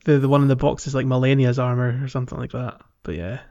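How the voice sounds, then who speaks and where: A man's voice: mid-range voice, flat delivery, fast, mellow. Man chatting on a slightly dull mic. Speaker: podcast host. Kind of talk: casual gaming podcast chat.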